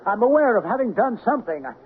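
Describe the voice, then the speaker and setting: An actor playing a panicky, guilt-ridden husband in an old-time radio drama, agitated and insistent, on a tinny, noisy recording. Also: male voice, high voice, big pitch swings, quick pace.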